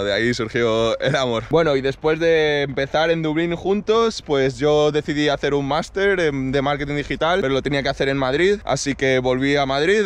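A thirty-year-old man with an accent from Spain speaks in a fairly high voice. He tells his story casually as a travel vlogger, walking outdoors and holding the camera at arm's length. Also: a bit bright, smooth. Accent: Spanish from Spain. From Mallorca, Spain. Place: outdoors, walking on a woodland path. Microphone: selfie camera at arm's length.

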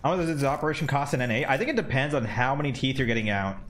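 A man's voice: medium pitch, expressive, very fast, fairly bright tone, slight background noise. Rambling, profane young man streamer. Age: 20s. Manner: rambling, profane, many fillers. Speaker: gaming streamer. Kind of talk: casual chat while gaming. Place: home desk room.

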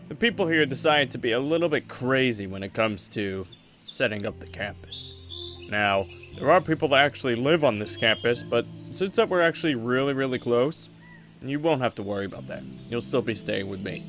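A man playing an easygoing but firm father, a veteran exorcist in a Minecraft roleplay, talking in a relaxed, reassuring way. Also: medium pitch, normal speed, faint music behind. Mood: welcoming. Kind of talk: improvised Minecraft anime roleplay.

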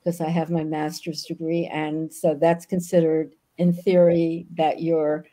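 Older woman, Yiddish-native, low warm tone, thoughtful and halting, on a webcam call. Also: flat delivery. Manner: thoughtful, frequent ums. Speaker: guest author. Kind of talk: video call interview. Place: home room with bookshelves. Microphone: video call.

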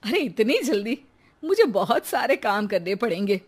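A bossy, sharp-tongued cartoon wife of middle age, acted by a woman. Her voice sits slightly high, and she scolds with emphasis and a grasping, greedy temper. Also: sing-song, moderate pace, breathy edge. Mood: greedy, defiant. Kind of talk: animated cartoon dialogue.

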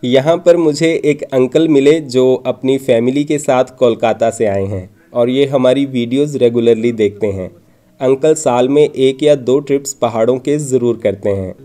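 A man's voice: mid-range voice, moderate pace, warm tone. A man narrating a travel vlog from a script, explaining things in an informative, orderly way. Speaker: travel vlogger and host.

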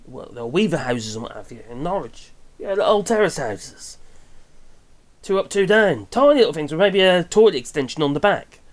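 A man's voice: fairly high pitch, sing-song, moderate pace. English guy in his 50s, chatty opinionated vlog rant, wanders off and corrects himself. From England. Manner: rambling, chatty, with tag questions and self-corrections. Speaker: vlogger commenting on news. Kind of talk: casual opinionated commentary. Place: small wooden cabin room with open window.

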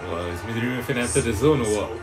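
A man's voice: medium pitch, racing. A young man, giving casual, slangy commentary as part of a group reaction. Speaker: reaction channel host. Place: small room indoors, on a couch. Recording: music playing behind the voice.

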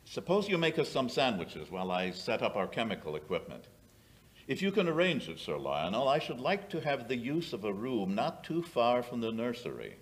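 An older man playing a commanding, inquisitive detective in old-time radio mystery style, speaking in a deliberate, authoritative way. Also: medium pitch, wildly swooping pitch. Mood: brisk. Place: small radio-style studio stage, indoors. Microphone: stand microphone.

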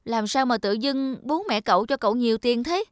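A woman's voice: on the high side, brisk, no echo, clean studio sound, close to an everyday voice. A woman voicing a chubby, caring schoolboy in a children's cartoon, earnest and a little scolding. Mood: suspicious.

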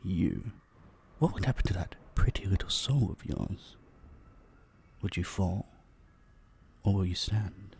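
A man voicing a possessive, centuries-old vampire in an intimate roleplay drama, slow and condescending, with a husky, breathy voice that drops into whispers. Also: low voice, a little mellow, slight background noise, exaggerated voice. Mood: fascinated, possessive. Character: possessive centuries-old male vampire, dominant, menacing captor.

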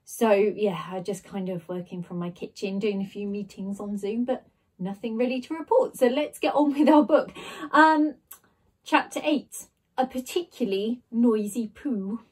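A woman's voice: medium pitch, normal speed, darkish tone, husky. A woman in her thirties, a teacher, reading a storybook expressively and chatting casually. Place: small bedroom at home, indoors. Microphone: device camera at desk distance.

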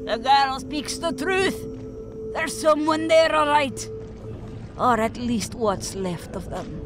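A woman acts out an old wise woman of a village in a dramatized comic. She is solemn and formal, taking her time with each phrase. Clear music plays behind her. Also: high-pitched, animated, slow pace. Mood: grim. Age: older. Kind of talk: dramatized comic book acting.

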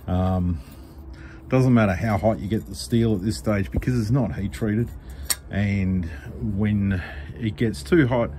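A middle-aged Australian man, a knife maker, explaining his work step by step in a relaxed, practical way while he works at the bench. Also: low-pitched, noisy background. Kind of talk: workshop tutorial voice-over. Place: home workshop.

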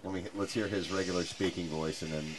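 A man's voice: deep voice, fairly even intonation, fast. A man hosting casual morning radio banter in a jocular, informal way, close on a studio microphone. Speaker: radio host. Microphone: close studio boom microphone. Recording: noisy live studio with room ambience.

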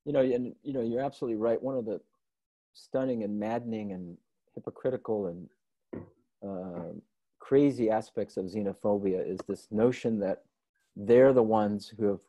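Guy hosting a webinar from home, low mellow voice, dry sound, lots of uhs. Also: normal speed, dry recording, rough recording. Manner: friendly, filler-heavy, relaxed questions. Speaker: webinar host. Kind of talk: hosted webinar interview. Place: home room with window shutters. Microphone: video call.

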